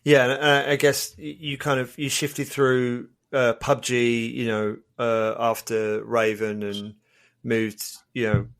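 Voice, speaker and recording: A man's voice: medium pitch, flat delivery, slow pace, a bit bright. A man hosting a podcast, asking long hesitant rambling questions in casual interview talk. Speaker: podcast host.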